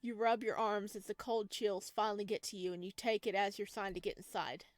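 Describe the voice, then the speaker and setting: A woman reads a horror visual novel aloud at an even pace, her voice in the middle of the usual range for a woman. She stops now and then for chatty asides and giggles. The sound is close and dry, with no room echo. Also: mid-range voice, brisk. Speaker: game streamer.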